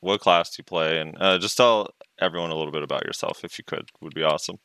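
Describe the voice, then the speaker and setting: A man co-hosts a casual podcast and keeps asking the guest questions with a teasing curiosity. His voice is very deep yet bright and forceful, and it sounds clean and resonant. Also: fast, smooth, no room sound. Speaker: podcast co-host.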